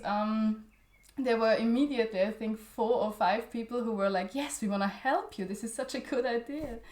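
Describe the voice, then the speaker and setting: A woman's voice: fairly high pitch, darkish tone, roomy sound. A young woman from Austria whose first language is German, a guest in an interview, talking with many fillers and restarts and laughing often. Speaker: interview guest, journal founder. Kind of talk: conversational interview.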